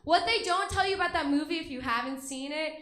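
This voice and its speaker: A woman's voice: high voice, fast talker, sharp tone, reverberant. A young woman from Russia doing a stand-up comedy set, bubbly and self-mocking, laughing between her jokes. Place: comedy club stage.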